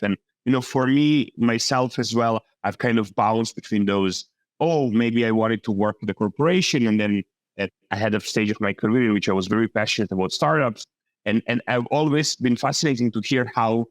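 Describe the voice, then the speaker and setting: A man with a lowish voice hosts an interview podcast. He fills his sentences with "uh" and restarts, recorded dry and close to the mic. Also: no room sound. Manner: frequent "uh" fillers and restarts. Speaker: podcast host.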